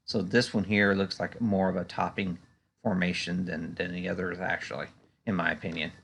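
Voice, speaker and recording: Low-pitched, gravelly man giving market commentary. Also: level tone, normal speed, fairly bright tone, slight background noise. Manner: conversational, hesitant, frequent "you know". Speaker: stock market analyst and video host. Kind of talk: unscripted market chart commentary.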